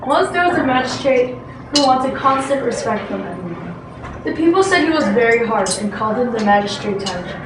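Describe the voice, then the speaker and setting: A female amateur performer playing a patient father who tells folk tales in readers theatre, reading a little haltingly. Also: fairly high pitch, very animated. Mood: patient, storytelling. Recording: noisy echoey room, distant microphone.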